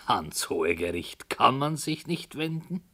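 An actor playing a matter-of-fact storyteller who narrates and directs the play. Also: male voice, mid-range voice, very animated, takes it slowly, a bit bright, exaggerated voice. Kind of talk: radio drama narration.

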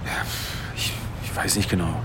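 A man's voice: normal speed, very noisy, close to an everyday voice. An actor playing an evasive, defensive male police detective in a radio drama, speaking colloquially. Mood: exasperated.

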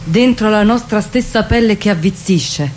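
A woman's voice: medium pitch, normal speed, a little mellow, slight background noise. A woman delivering a poetic dramatic monologue.

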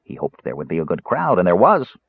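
A man's voice: sits low, huge pitch swings, a little fast, slightly rough, no room sound, phone-quality sound, full character voice. A man voicing a toothless, cunning old jailer in an audiobook, with a thin, whiny, cackling voice and folksy grammar. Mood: morbid, wistful.

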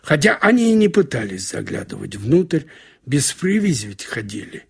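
A man narrating a literary short story in an expressive, storytelling way with wry colloquial asides. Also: mid-range voice, normal speed, vocal fry, husky. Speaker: narrator reading short fiction. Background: music.

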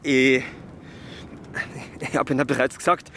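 An actor playing an anxious, evasive suspect in a German radio crime drama, halting and repeating himself as he protests his innocence. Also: medium pitch, steady tone, heavy background noise, lightly acted. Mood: defensive.

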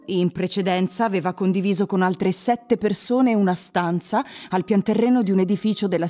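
A woman narrator reading literary prose for a radio drama in a measured storytelling manner. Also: medium pitch, mellow.

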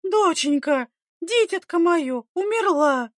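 A superstitious middle-aged village mother, put on by a woman storyteller. She is shrill, hysterical and accusing, crying out high above an everyday pitch in a dry audiobook recording. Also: expressive, takes it slowly, no echo, heavily acted. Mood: frantic grief. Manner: shrill, shouting, wailing.

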